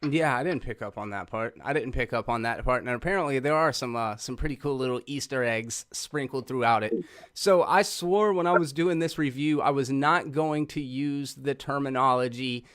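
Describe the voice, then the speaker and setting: A man's voice: mid-range voice, moderate pace, fairly bright tone, clear, resonant tone. A man hosting a movie discussion show in a casual, chatty, informal way. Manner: informal, swearing. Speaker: host of a movie review show.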